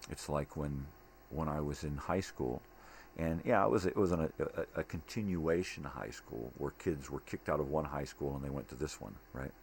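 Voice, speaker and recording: A man's voice: rumbling low, moderate pace. An older man rambling casually in a monologue, blunt, opinionated and given to swearing. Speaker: daily vlog host. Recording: clearly noisy home recording.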